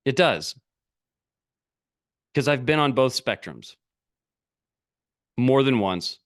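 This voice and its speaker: A man's voice: mid-range voice, expressive, slow pace. A middle-aged man coaching a group over a video call, talking bluntly in short, punchy, repeated sentences. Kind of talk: motivational talk on a live video call.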